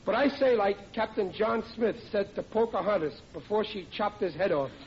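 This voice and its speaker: A man's voice: high-pitched, normal speed. An actor playing a bumbling, protesting middle-aged family man in old radio comedy. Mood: sheepish, pleading. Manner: blustering, hapless, protesting. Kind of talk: 1940s radio comedy acting. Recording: muffled old radio recording with clear noise.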